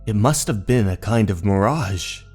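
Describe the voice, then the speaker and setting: A man's voice: on the low side, expressive, normal speed, darkish tone, husky. A man voicing an alarmed, bewildered young male hiker in a horror manga dub, stammering and exclaiming. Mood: nervous. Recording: music under the voice.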